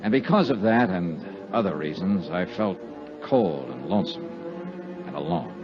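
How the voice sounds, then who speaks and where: Hardboiled american private eye, sure of himself, swingy raspy delivery, muffled 50s radio drama sound. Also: male voice, deep voice, expressive, moderate pace, over music. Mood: moody, somber. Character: hard-boiled male insurance investigator, brooding and sure of himself. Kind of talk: 1950s radio detective drama acting and narration. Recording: dull, muffled 1950s radio recording.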